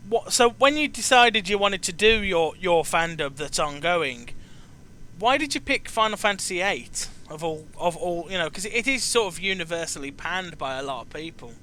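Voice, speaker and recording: A man's voice: high-pitched, animated, normal speed, sharp tone. A man hosting a casual chat about video games, stammering and often repeating the starts of his phrases. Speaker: host interviewing a guest.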